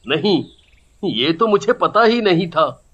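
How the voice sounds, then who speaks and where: A man's voice: high voice, lively intonation, takes it slowly, a bit bright, slightly rough, full character voice. A man voicing a naive, devoted young son in a cartoon, earnest, in a dull lo-fi recording. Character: naive, devoted young man, fiercely loyal to his mother. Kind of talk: Hindi cartoon story dubbing.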